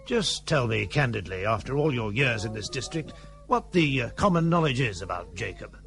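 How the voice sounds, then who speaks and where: An actor playing a gruff, suspicious, blustering British colonial gentleman in a period radio play. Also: mid-range voice, big pitch swings, normal speed, faint music behind. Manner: blustering, questioning.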